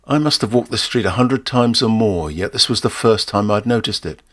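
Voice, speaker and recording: A British man reading a first-person short story aloud in a measured, expressive way with dry, wry humour. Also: fairly low pitch.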